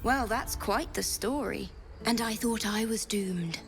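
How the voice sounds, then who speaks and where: A woman's voice: on the high side, unhurried, loud music behind the voice. An actress playing a wary, plain-spoken woman survivor in a fantasy video game, frank, pragmatic and guarded. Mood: wary, wry. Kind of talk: video game character acting.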